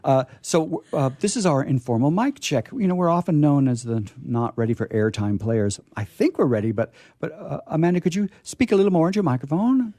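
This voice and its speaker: A man hosts in a casual, chatty style, his voice mellow and animated. He halts and repeats words as he goes. The sound is dry and close. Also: a little high, a little fast, few pauses, dry recording. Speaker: radio host.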